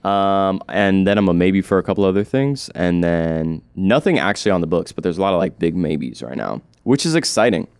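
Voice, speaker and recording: Young man with a deep, smooth voice. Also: fairly quick, few pauses, dry recording. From United States. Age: 20s. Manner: slangy, profane, animated storyteller. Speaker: podcast guest, musician. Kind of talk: casual interview chat.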